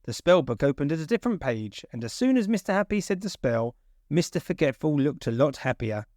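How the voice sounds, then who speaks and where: A man reading a children's story aloud as an expressive storyteller. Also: fairly high pitch, brisk, no room sound, studio quality.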